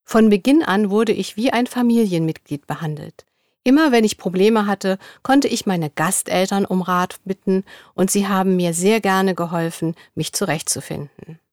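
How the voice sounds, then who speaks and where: A young woman in a studio, reading interview answers in a scripted dialogue at an even, clear pace. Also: mid-range voice, normal speed, no room sound.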